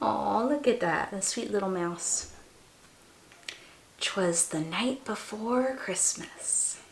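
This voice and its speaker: A woman reading a rhyming children's story aloud as a storytime host, with expressive, cooing delivery aimed at young listeners. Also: mid-range voice, slow pace, gravelly, roomy sound, a little hiss or hum. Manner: animated, gasping, cooing asides to young listeners.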